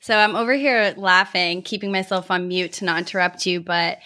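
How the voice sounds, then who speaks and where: A woman's voice: lowish voice, fairly even intonation, normal speed, bright, forceful tone. A woman hosting a podcast interview, friendly and encouraging as she asks her guest questions. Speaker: podcast host. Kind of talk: interview host.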